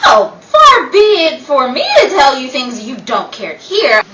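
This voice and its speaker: Meddling high-society gossip, played by a woman, theatrical false concern, high voice, 1930s radio style, distant mic. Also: very animated, unhurried, exaggerated voice. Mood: urgent meddling. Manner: theatrical, gushing. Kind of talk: 1930s radio play acting. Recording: echoey room, distant mic, faint noise.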